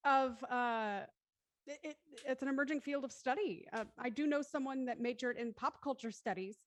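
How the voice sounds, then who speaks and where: A woman's voice: sits high, normal speed. A woman hosting a casual live online discussion, talking in an enthusiastic, wordy way as she thinks aloud. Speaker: host of a live web show after-talk. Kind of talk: casual chat, moderating a discussion.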